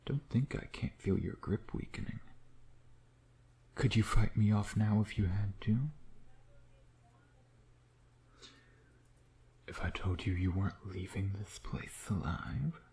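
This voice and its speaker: A man playing a suave, predatory male vampire in an intimate ASMR roleplay, teasing in a breathy voice that often drops to a whisper. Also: on the low side, steady tone, warm tone. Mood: taunting, amused. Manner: slow, teasing, murmuring with pet names.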